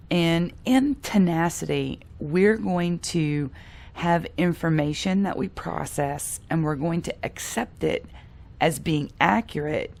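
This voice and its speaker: A woman in her thirties or forties lectures in a deep voice with a gravelly edge. She sounds stuffy from a cold, and her explanation runs with the odd um and a restarted phrase. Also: darkish tone. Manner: explanatory, with filler ums and restarts. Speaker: psychology professor. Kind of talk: recorded lecture.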